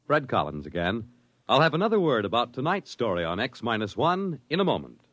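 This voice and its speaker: Animated 1950s radio announcer, slightly husky man. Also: medium pitch, fairly quick, no room sound. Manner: polished, dramatic delivery. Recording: 1950s radio broadcast recording.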